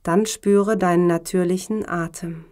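Female meditation guide, slightly low voice, very slow delivery, calm and measured yoga instruction. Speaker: yoga teacher guiding relaxation.

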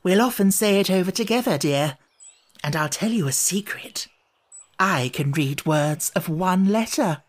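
A man's voice: high voice, lively intonation, unhurried. A man voicing a feeble, muddled, childlike queen in a storybook, with a dithering, earnest manner.

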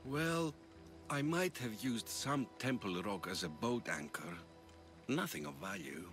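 Weary old fisherman, slow-paced. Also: mid-range voice, lively intonation, a bit bright, faint music behind. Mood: sheepish. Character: weary older fisherman turned devout servant of gods. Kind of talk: video game cutscene acting.

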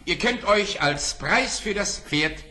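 An actor playing a grand, greedy, commanding sultan in a fairy-tale radio play, with stately theatrical delivery. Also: male voice, sits high, lively intonation, slow, pushed and bright, heavily acted. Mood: amazed, greedy. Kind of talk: radio play acting for children.

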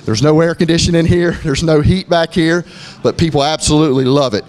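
A middle-aged man from Florida, a gym owner giving a casual walkthrough of his gym, talking in a relaxed way with lots of fillers and restarts. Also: on the high side, a little fast. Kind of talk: walkthrough tour, casual narration. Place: gym indoors, moving through rooms.

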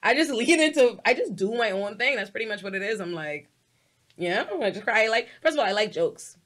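Animated young woman for a studio interview guest, bright and forceful tone. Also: brisk. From Bahamas. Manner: animated, laughs often, jumps to high pitch when telling stories. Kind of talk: casual interview chat. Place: small podcast studio with foam panels. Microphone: studio mic on boom arm.

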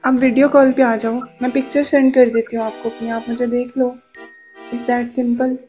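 A man's voice: extremely high pitch, level tone, moderate pace, dark tone, faint music behind. A young man voicing a lovestruck, nervous female student who pleads coyly, in a prank phone call. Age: young adult. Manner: coy, pleading. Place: small indoor room.

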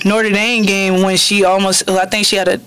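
A woman's voice: mid-range voice, level tone, normal speed, a bit bright, clean tone. Chatty, playful young woman athlete. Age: young adult. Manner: chatty, playful, many restarts and "like". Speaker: college basketball player. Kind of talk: postgame press conference answers. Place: press room at a table. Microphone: table microphone on a stand.

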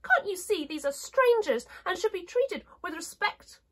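A woman's voice: very high voice, very animated, unhurried, a bit bright, pitched above the performer's own voice, heavily acted. A woman voicing a dainty, prim little china princess in a storybook, with a small, timid voice. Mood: scolding, prim. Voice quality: tiny, frightened little voice. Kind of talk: storybook character voice.

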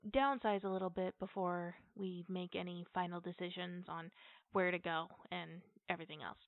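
A woman's voice: medium pitch, vocal fry, no room sound. Woman with thin, phone-like sound, chatting casually. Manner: halting, informal, addressing listeners directly. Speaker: channel host. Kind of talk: casual unscripted channel announcement. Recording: thin, band-limited phone-like audio.

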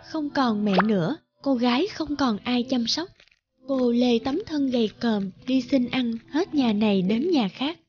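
Lady narrator telling a folk story, very soft mellow tone, highish, bit dull audio. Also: measured pace. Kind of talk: scripted folktale narration. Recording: dull, band-limited sound.

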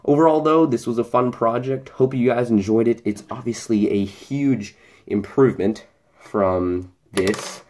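A man's voice: medium pitch, dark tone. A young man casually narrating a hobby video, walking through and comparing items on a tabletop. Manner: explanatory, comparing objects in front of him. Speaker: hobbyist YouTuber. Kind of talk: casual show-and-tell narration.

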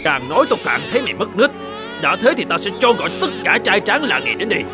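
Casting: angry cartoon patriarch, high swooping voice, proud and scolding, music underneath. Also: male voice, sing-song, quick pace. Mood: stern, angry. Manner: blustering, scolding. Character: stern, angry middle-aged father, proud village lord. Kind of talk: animated fairy-tale dubbing. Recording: music behind the voice.

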